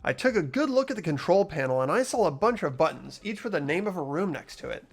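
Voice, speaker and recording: Man as inquisitive schoolboy game protagonist, earnest, expressive intonation, slightly high voice, light music underneath. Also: fast talker, creaky, husky, full character voice. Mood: curious, tense. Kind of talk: acted visual novel dialogue and inner narration. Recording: music behind the voice.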